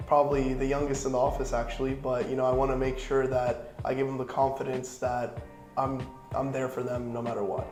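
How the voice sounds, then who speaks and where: Young man with a flat, even voice. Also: mid-range voice, a little fast, reverberant, faint music behind. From Florida, United States. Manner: relaxed, rambling, lots of "you know". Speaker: interviewee, young realtor. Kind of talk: casual interview answers.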